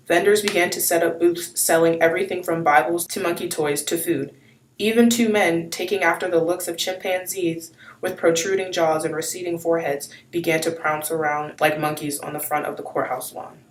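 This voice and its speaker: Low-voiced young woman reading news. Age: teens. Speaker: news presenter. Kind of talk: scripted student newscast. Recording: echoey room, noisy amateur recording.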